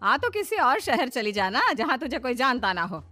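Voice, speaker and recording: A woman's voice: high-pitched, very animated, moderate pace, pushed and bright, soft background music, exaggerated voice. A woman playing a bossy older mother-in-law, curt, belittling and commanding, in a cartoon story. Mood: dismissive.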